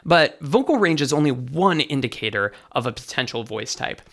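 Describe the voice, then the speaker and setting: A man with a light, bright tenor voice, a vocal coach explaining singing technique as an interview guest. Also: medium pitch, animated, moderate pace, creaky. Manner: enthusiastic teaching, sings short demos.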